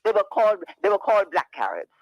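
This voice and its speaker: A woman arguing her side on a radio talk show, emphatic and quick to push back. Her voice is mid-pitched and sounds close and dry, with no room echo at all. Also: wildly swooping pitch, dull audio, soft background music. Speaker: radio co-host. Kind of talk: heated radio discussion.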